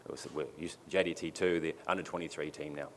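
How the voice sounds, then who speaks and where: A man's voice: very deep voice, fairly even intonation, fast. A male club official moderating a press conference and answering a question in a loose way. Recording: distant reverberant room with faint noise.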